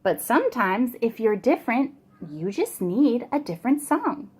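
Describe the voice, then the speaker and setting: A woman voicing a gentle, wise little cricket in a storybook, speaking in a measured, encouraging way. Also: a little high, sing-song, husky. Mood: gentle encouragement.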